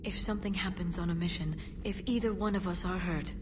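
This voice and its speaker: A woman playing a composed, earnest alien scientist and information broker in a video game, speaking in a measured, serious way. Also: fairly low pitch, far from the mic, background noise, close to an everyday voice. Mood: tender.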